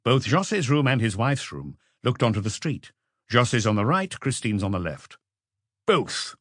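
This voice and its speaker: Man voicing a precise, observant male witness, lively intonation. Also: mid-range voice, normal speed, dry recording, studio quality. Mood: precise, assured.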